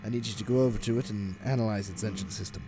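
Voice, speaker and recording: A man playing a calculating, commanding gang boss in an audio drama, speaking in a measured, deliberate, authoritative way. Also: lowish voice, steady tone, close to an everyday voice. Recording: music under the voice. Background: dramatic music.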